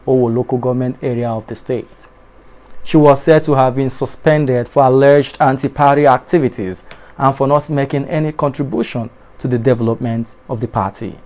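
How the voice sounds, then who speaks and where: A young adult man reading a television news bulletin in a studio, formal and measured, his voice dropping at the ends of sentences. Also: mid-range voice, darkish tone. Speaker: newscaster. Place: TV studio in front of a backdrop.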